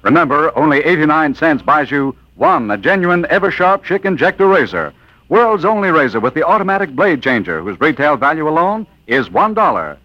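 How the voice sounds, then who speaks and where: Animated American radio pitchman, fairly high-voiced. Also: brisk, no echo. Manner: polished, emphatic salesmanship. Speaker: commercial announcer. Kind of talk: 1940s radio advertising read. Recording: dull vintage radio broadcast.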